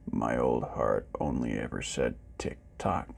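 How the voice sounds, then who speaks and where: A man voicing a gentle, sad old robot in a storybook, speaking slowly and softly. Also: extremely low pitch, vocal fry, slightly rough, pitched below the performer's own voice, exaggerated voice. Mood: gentle, tender. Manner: slow, gentle, downcast.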